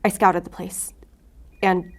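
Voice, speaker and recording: A woman's voice: fairly low pitch, level tone, slow, a little hiss or hum. An actress playing a determined, risk-taking woman investigator in an audio drama, speaking with earnest urgency. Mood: tense, insistent. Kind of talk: audio fiction acting.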